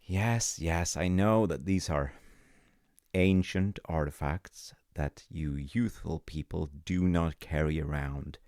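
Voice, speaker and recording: A man telling a story in an intimate roleplay as a dad, in a measured, wry way with dramatic pauses. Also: very deep voice, a little mellow, dry recording, studio quality.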